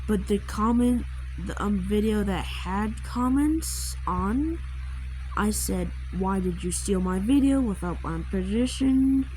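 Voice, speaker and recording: A woman giving casual commentary while drawing, rambling and halting as the creator explains a creature design, with trailing asides. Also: mid-range voice, expressive, dark tone, slight background noise, poor audio.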